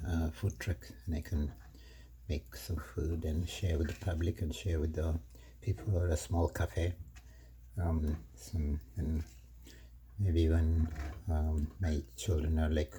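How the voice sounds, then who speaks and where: Deep-voiced man, slow and rambling. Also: slight background noise. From Kenya. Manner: slow, rambling, with many fillers and soft laughs. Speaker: vlogger. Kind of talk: casual cooking vlog monologue. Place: home kitchen.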